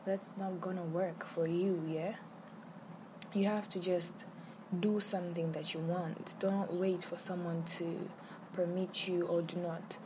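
Slow, earnest young woman podcaster. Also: fairly low pitch, flat delivery, background noise. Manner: earnest, encouraging, repeats key phrases. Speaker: podcast host. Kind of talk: solo motivational podcast talk.

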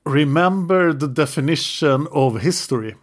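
A man's voice: fairly high pitch, animated, moderate pace. A man hosting a history podcast, leading the talk with long explanations and light teasing. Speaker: podcast host. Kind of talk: explaining history in casual chat.

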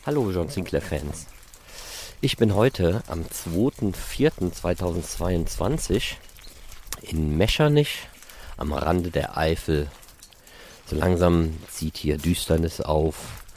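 A man's voice: sits low, animated, takes it slowly, a little mellow. A man presenting and reporting as the host of a fan podcast. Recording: noisy, ambient background sound.

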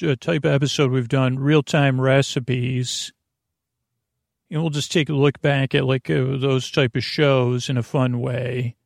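A man with a creaky voice hosts a bedtime podcast, meandering haltingly through tangents with self-deprecating, absurd humour. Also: medium pitch, no echo, studio quality. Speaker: podcast host. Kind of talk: rambling bedtime podcast monologue.

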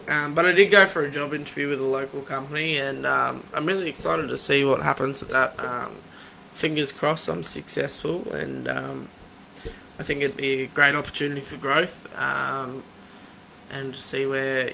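A very bright, forceful voice with some fry in it, from a man in his twenties. He co-hosts casually and cheekily, always joking, over faint background noise. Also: a little high, rough recording. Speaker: podcast co-host. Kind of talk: casual banter.

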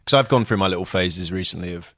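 A man's voice: low-pitched, quick pace, pushed and bright, dry recording, studio quality. A man hosting a podcast, asking questions in a curious, laid-back way. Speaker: podcast host. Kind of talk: casual podcast interview.

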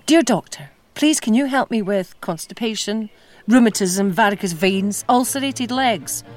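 An actress as an impassioned woman campaigner in a period drama. She is emphatic and persuasive, with an animated, swooping delivery and an exasperated edge, over a noticeably noisy backdrop with clicks. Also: medium pitch, normal speed, close to an everyday voice. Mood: pleading, pointed. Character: impassioned, insistent woman campaigning for public health. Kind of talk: acted historical drama dialogue.

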